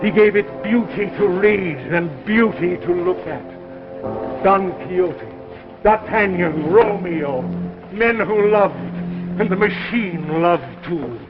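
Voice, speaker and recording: High-voiced, lovestruck machine man. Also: takes it slowly. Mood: pleading, lovelorn. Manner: declamatory, bookish, imperious. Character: lovestruck, menacing reading machine come alive as a man. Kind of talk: 1950s radio drama acting. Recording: dull vintage radio recording, loud music.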